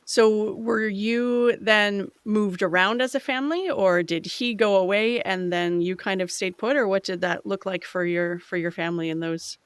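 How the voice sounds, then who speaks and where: A podcast co-host asking curious, gently probing questions in a casual conversation. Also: mid-range voice, moderate pace, hardly any pauses, smooth, dry recording.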